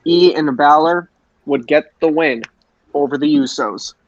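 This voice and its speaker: A man's voice: fairly high pitch, measured pace, dry recording. A man co-hosting a podcast, giving an informal recap on a lo-fi recording. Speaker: podcast co-host. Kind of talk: informal recap of wrestling show.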